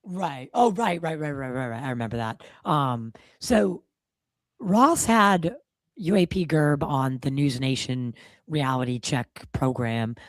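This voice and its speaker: Gravelly, hoarse-ish male podcast host. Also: mid-range voice, lively intonation, a little slow, no echo, clean studio sound. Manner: loose and profane, lots of fillers. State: a bit hoarse from a cold. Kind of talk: casual podcast chat.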